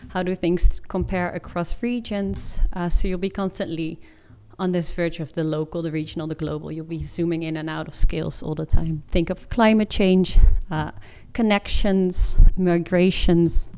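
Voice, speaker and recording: A woman's voice: on the low side, fairly even intonation, normal speed, hardly any pauses, dark tone. A woman in her twenties giving an informative presentation in an informal, explanatory way. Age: 20s. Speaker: master's student presenter.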